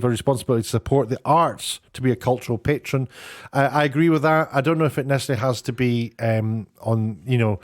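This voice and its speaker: A man giving casual, off-the-cuff commentary in a halting, rambling way, often breaking off to rephrase. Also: medium pitch, no room sound, studio quality. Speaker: online commentator, former broadcast worker.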